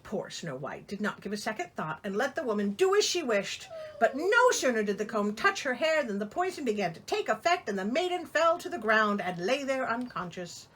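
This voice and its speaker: A woman's voice: a little high, sing-song, moderate pace, fairly bright tone, breathy edge. A woman reading a storybook aloud in a theatrical way, breaking in with sarcastic, exasperated comic asides. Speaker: storyteller, host of a reading show.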